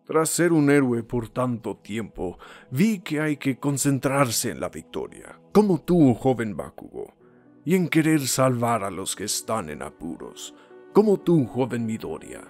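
Earnest anime hero-mentor, older male, acted by a man; slow, weighty delivery with wide swings. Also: mid-range voice, sing-song, darkish tone, soft background music. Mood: remorseful. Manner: solemn, measured, emphatic. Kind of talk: voice acting demo of anime dub monologue.

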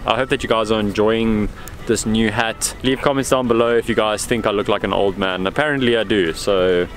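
A man's voice: fairly low pitch, steady tone, a little fast. A young South African man giving a casual update to camera as a fishing vlog host, outdoors on a boat. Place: outdoors on a small boat on a lake. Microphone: action camera at arm's length.

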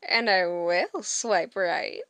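A woman's voice: lowish voice, slow, fairly bright tone, clear, resonant tone, dry recording, voice pushed down. A woman voicing mischievous, theatrical cartoon men in an exaggerated, dramatic amateur comic dub. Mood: teasing.

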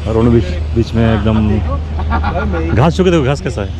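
A man's voice: medium pitch, expressive, normal speed, crowd noise. Enthusiastic middle-aged vlogger man. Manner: enthusiastic, repeats praise often. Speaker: travel vlogger. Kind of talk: casual guided tour vlog. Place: outdoor cricket stadium at night. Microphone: phone camera at arm's length. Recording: outdoor noise on phone.

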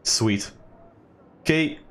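A man's voice: extremely slow, fairly bright tone. A young man giving casual, joking let's play commentary as a gaming YouTuber. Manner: wry, joking.

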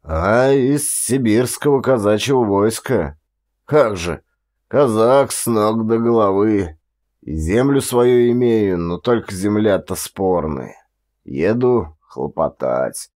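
Man acting a low, rambling old Siberian ex-policeman. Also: lively intonation, darkish tone. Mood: proud, unhurried. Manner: unhurried, rambling, old-fashioned deferential speech. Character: grey old Siberian ex-police chief, ingratiating, boastful, nostalgic tramp. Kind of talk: audiobook character dialogue.

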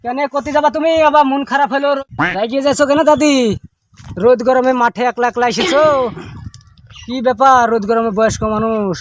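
A man's voice: very high voice, steady tone, fairly quick, a little hiss or hum. A man playing an inquisitive, coaxing grandson with a rural Bengali accent, asking questions and cajoling in an amateur village comedy skit. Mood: curious. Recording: loud music over the dialogue.

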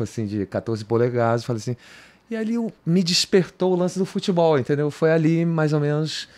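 A man's voice: mid-range voice, a little mellow. A man in his fifties from Brazil, a guest on a podcast recorded in a home music studio, talking in a loose, rambling way, restarting sentences and tagging them with "cara" and "entendeu?". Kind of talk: casual interview talk. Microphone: studio mic on boom arm.

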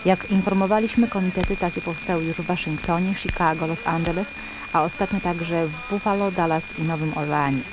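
A woman's voice: fairly low pitch, steady tone, a little fast, highs cut off, close to an everyday voice. A woman playing a solemn radio newsreader, reading a bulletin in a formal, serious manner. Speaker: radio news presenter. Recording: noisy, humming shortwave radio broadcast.

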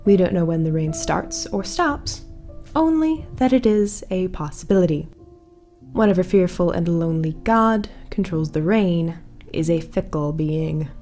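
Woman performing eerie radio host, lowish female voice, ominously calm deadpan, scripted horror-comedy news, music underneath. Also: a little slow.

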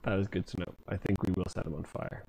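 Gravelly man chatting while gaming. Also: low-pitched, little pitch movement, fast, warm tone, slight background noise, low-quality recording. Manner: laughing, self-mocking. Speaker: player and lead character. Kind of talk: casual comedic gameplay chat.

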